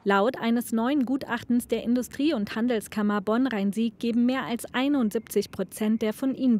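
Higher-voiced woman reading news. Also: darkish tone. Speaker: reporter. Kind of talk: scripted voice-over narration.

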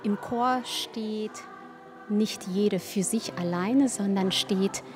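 A woman narrating a news report in a measured way. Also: medium pitch. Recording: voice-over with soft music.

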